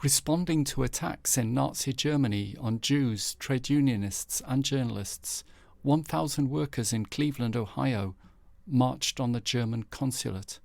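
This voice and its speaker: A man reading scripted, documentary-style news headlines in a measured, formal manner. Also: mid-range voice, gravelly, darkish tone, no echo, studio quality. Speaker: narrator presenting historical news headlines. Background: background music.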